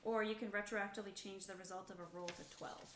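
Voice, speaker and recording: A woman's voice: medium pitch, steady tone, fast talker, a bit bright. A woman chatting casually as a player in a tabletop game. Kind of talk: casual chat at a game session. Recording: echoey room, faint background noise.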